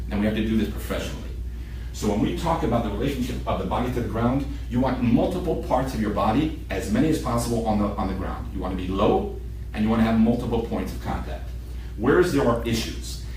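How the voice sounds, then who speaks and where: A man's voice: on the low side, expressive, fast talker, a little mellow. A man from Israel, a native Hebrew speaker, lecturing a class as a military shooting instructor, emphatic and repeating key points in short sentences. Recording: echoey room, distant mic, background noise.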